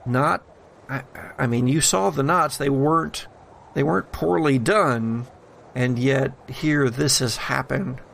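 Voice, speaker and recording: A man narrating a tabletop horror game as game master, in deliberate phrases with pauses between them. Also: mid-range voice, vocal fry, fairly bright tone.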